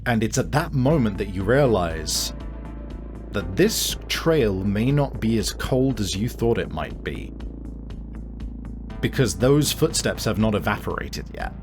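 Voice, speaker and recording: A man improvising narration as game master of a tabletop game, in a halting manner with frequent searching pauses. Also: fairly low pitch, very animated, over music.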